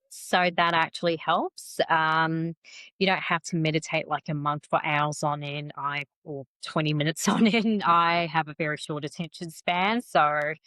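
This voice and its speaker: A woman talking casually as a podcast guest about her work as a psychic medium, chatty and quick to laugh at herself. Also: lowish voice, fairly bright tone, no room sound. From New Zealand and Malaysian family. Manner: chatty, self-deprecating, laughs often. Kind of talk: casual interview conversation.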